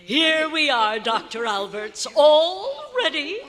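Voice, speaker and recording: A woman's voice: high-pitched, very animated, slow pace, a bit bright, faint music behind, full character voice. A woman playing a fussy, effusive society lady in an old-time radio drama, fluttery and gushing. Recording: echoey hotel hall live performance.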